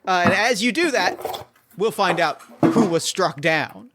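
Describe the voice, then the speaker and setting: A man's voice: high-pitched, animated, unhurried, heavy background noise. A man running a tabletop game as host, chatting casually and thinking aloud with lots of fillers and restarts.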